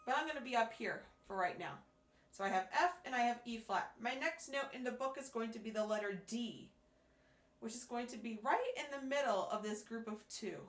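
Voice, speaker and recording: Hoarse woman teaching, echoey room. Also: a little high, fairly quick. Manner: patient, step-by-step explaining with fillers. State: hoarse, losing her voice. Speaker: music teacher. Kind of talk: instructional demonstration.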